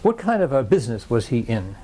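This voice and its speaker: A man interviewing someone for an oral history project, his voice pitched in the middle range. He asks gentle questions and suggests words when his guest stalls. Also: big pitch swings, a little fast, slightly rough.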